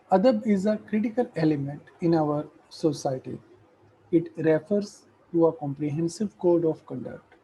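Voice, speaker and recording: Man, middle-aged, lecturing slowly; husky, mellow tone, a bit higher than most men. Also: rough recording. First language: Urdu. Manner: slow, measured, with hesitant fillers. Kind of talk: academic lecture.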